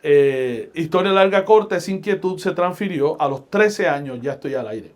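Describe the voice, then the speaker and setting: Emphatic Puerto Rican pastor, higher voice. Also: male voice, animated. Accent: Puerto Rican Spanish. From Puerto Rico. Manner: deliberate, emphatic preacher's delivery with scripture quotes. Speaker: pastor and radio host, guest. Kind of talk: podcast interview, storytelling.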